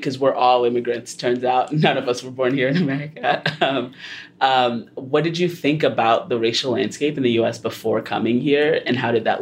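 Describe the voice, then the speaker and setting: A young man from Haiti hosting a student podcast, talking in a relaxed way with small laughs and fillers. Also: mid-range voice, a little hiss or hum. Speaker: podcast host.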